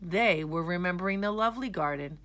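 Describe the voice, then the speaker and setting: A woman storyteller reading a children's storybook aloud in a deliberate, expressive way. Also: on the low side.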